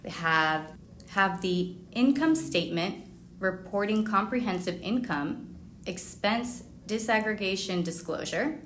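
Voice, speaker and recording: A woman's voice: mid-range voice, lively intonation, a little slow, fairly bright tone. A woman hosting a business podcast, reading introductions and questions in a formal, welcoming presenter's manner. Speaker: podcast host. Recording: roomy echo, faint background noise.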